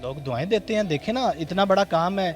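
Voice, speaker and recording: Male interviewee, Pakistani Punjabi accent, somewhat high pitch, step-by-step explanations, faint music behind. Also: animated, fairly quick. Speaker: mosque organiser being interviewed. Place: on location at a mosque.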